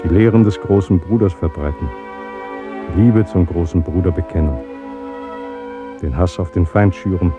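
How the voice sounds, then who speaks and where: An actor playing a wary, disillusioned party worker in a totalitarian state, with measured delivery, in a German radio drama. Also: male voice, low voice, close to an everyday voice. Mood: grave, measured. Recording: dull 1970s radio production, faint music.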